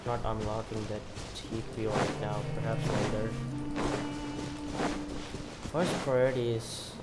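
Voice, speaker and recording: A man's voice: lowish voice, level tone, takes it slowly, rough recording. A man giving casual gameplay commentary in an offhand way, as if talking to himself. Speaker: gameplay streamer. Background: game music.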